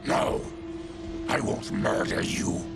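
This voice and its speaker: Gravelly, honorable mutant warrior. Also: male voice, on the low side, huge pitch swings, takes it slowly, loud music behind the voice, heavily acted. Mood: grave, resolute. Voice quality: gravelly, rasping. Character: gruff, honorable male Tarkatan mutant warrior. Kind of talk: dramatic video game cutscene acting.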